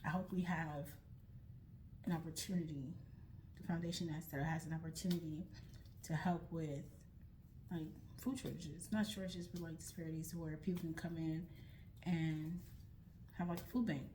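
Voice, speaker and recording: A woman's voice: low voice, fairly even intonation, moderate pace, pauses often, a little mellow, slight background noise, low-quality recording. A young American woman speaking earnestly and reflectively to her viewers, often restarting her sentences. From United States. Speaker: vlogger starting a nonprofit. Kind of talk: earnest personal talk to camera.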